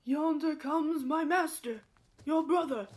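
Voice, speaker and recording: Aged loyal servant, played by a woman, very high voice, unhurried, earnest, classical radio drama. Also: darkish tone. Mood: watchful. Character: loyal, gentle, wronged old manservant. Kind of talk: Shakespearean radio play acting.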